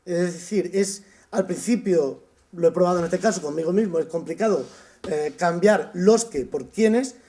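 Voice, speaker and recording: A man from Spain giving a summer course lecture, thoughtful, often pausing with "eh" and restarting his sentences. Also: high voice, dark tone. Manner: thoughtful, with frequent "eh" pauses and restarts. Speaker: university lecturer.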